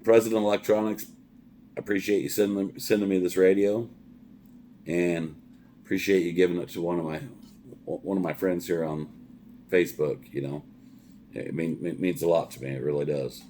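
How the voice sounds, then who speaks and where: A middle-aged man with a very deep, low voice talks like a trucker giving followers his take on some gear. He is plain-spoken and folksy, doubling back on words in casual review chat. There is faint background noise on the recording. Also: level tone, moderate pace, long pauses, mellow.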